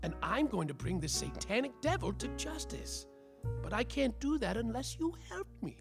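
A man's voice: very high-pitched, sing-song, normal speed, creaky, over music, heavily acted. A man playing a world-weary, bumbling private detective, melodramatic and self-important, in a noir parody radio play. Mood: earnest persuading.

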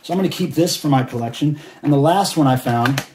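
A man's voice: on the high side, fast, noisy background. Chatty man in an echoey room. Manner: enthusiastic, chatty, rambling. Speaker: collector showing finds. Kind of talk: casual show-and-tell vlog. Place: indoors at a table of cassettes.

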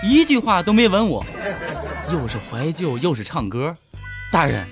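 A man's voice: fairly high pitch, sing-song, moderate pace, over music. An actor playing an exasperated, know-it-all fisherman under questioning in a comedy radio drama, plaintive and pedantic in everyday speech.

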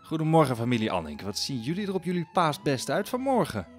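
Village pastor for audio drama: fussy, chatty, patronising, lively swinging pitch. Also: male voice, fast talker, soft background music. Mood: bustling, patronising. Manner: repetitive, bustling, mildly patronising. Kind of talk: Dutch audio drama acting.

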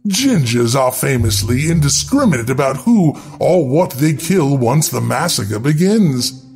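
A man's voice: a little high, a little mellow. A man reading scripted, darkly humorous narration in a wry, theatrical instructional manner.

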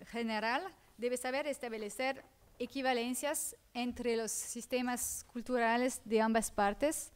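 A woman with a Portuguese accent reading a conference paper in a seminar room, careful and halting, often correcting herself. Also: a little high, clear, resonant tone. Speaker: academic speaker.

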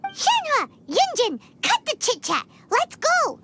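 A woman voicing a tiny, excitable fairy girl companion in an anime game, childlike and bossy. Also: slow, full character voice. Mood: excited, urgent. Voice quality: squeaky, childlike. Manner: bossy, eager, refers to herself by name. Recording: game music under the voice.

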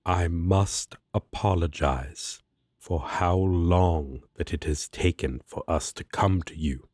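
A man's voice: low-pitched, slow pace, a little mellow, husky, dry recording, clean studio sound, exaggerated voice. A man playing a righteous, protective angel warrior in a fantasy audio roleplay, speaking in formal, grandiose, archaic phrasing. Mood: solemn, reassuring. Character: solemn righteous male angel warrior, protective and self-important.

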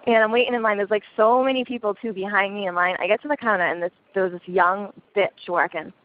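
A young woman telling a story in casual chat on a phone call, chatty and quick to swear. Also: medium pitch, fairly even intonation. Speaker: caller. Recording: dry tinny phone line.

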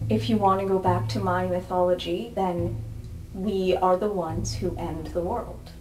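A woman's voice: lowish voice, steady tone, measured pace, music in the background, poor audio. A woman chatting casually as a player in an improvised roleplay game, thinking aloud with some hesitation.

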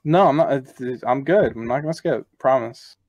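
A young man from Louisiana hosts a casual video chat with strangers, tossing out crude, joking banter. His voice sits at an ordinary middle pitch. The room sound is very dry and close. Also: moderate pace, a little mellow, no room sound, dull audio. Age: young adult.

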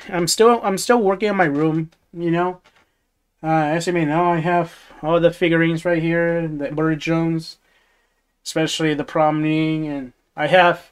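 A man's voice: on the high side, smooth. Rambling young man on a livestream. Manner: rambling, many restarts and chuckles. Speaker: livestream host. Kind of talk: casual livestream chat reading viewer comments. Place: small dim bedroom studio. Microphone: gaming headset.

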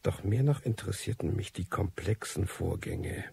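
An actor playing a world-weary, anonymous man who slowly records his rambling, indifferent monologue in a radio drama, husky and gravelly. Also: low voice, dark tone, full character voice. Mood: weary, detached. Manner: slow, rambling, indifferent, digressive.

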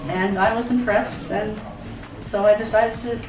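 An older woman from Nova Scotia giving a relaxed, plain testimonial. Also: mid-range voice, a little slow. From Nova Scotia, Canada. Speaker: student. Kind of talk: testimonial interview. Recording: distant, roomy, noisy.

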